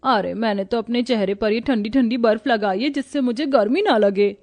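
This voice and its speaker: A woman's voice: on the high side, normal speed, darkish tone. A woman playing a naive, thrifty young village bride in a comic cartoon moral story. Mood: cheerfully matter-of-fact.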